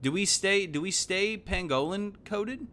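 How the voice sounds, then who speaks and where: A man, giving casual, slangy, animated live game commentary. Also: high voice, a little slow. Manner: animated, exclaiming, thinking aloud. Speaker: game streamer. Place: indoor room at home.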